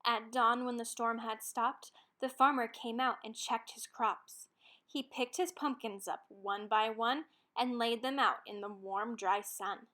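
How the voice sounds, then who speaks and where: High-voiced woman reading a children's storybook. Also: unhurried. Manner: expressive, dramatic emphasis on rhymes and key words. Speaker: storybook reader. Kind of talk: children's picture book read-aloud.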